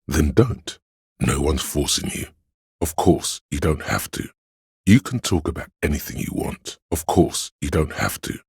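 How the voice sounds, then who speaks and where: A man voicing an omniscient inner voice of police camaraderie in a detective video game, narrating gravely and theatrically in a husky, gravelly voice. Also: expressive, measured pace, no echo, clean studio sound, heavily acted. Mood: intimate, musing. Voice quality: very deep gravelly husky rasp. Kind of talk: dramatic literary game narration.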